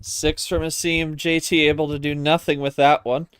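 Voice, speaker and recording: An excitable commentator calling a card game live like a sporting event, prone to exclamations. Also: medium pitch, moderate pace, clear, resonant tone, no room sound. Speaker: play-by-play commentator.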